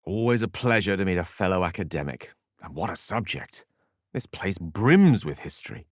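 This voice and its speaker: An actor playing an eloquent, wry, romantic scholarly wizard in a fantasy video game, speaking in an articulate, theatrical way. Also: male voice, sits low, lively intonation, moderate pace, no room sound, clean studio sound. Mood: dry, scholarly.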